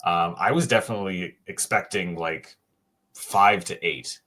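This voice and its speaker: A man with a fairly low voice talks hockey on a casual podcast. He thinks out loud, pausing on "um" and restarting his sentences, with a dry, self-deprecating streak. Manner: thinks aloud with frequent "um" pauses and self-restarts. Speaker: co-host, hockey writer. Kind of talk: casual sports podcast chat.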